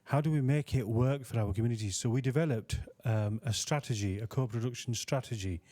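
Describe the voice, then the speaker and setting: A man on a research panel, explaining his work in a relaxed way with many fillers. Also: mid-range voice, a little fast. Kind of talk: panel discussion answer.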